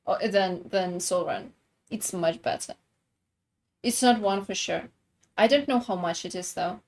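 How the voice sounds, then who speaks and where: A woman's voice: medium pitch, unhurried, slight background noise. A woman chatting casually beside a streamer, bluntly swearing and offering tips on how to play the game. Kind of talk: casual chat during a game.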